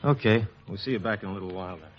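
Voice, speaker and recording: A man's voice: fairly low pitch, racing, a little hiss or hum, natural voice. An actor playing a wry, easygoing male detective sidekick who talks in a dry, laconic way, in 1940s radio acting style. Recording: dull lo-fi vintage radio recording.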